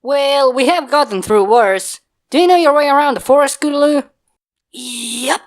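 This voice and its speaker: A man voicing an excited little filly who rallies her friends in a fanfic reading. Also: very high voice, normal speed, voice pushed up. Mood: eager.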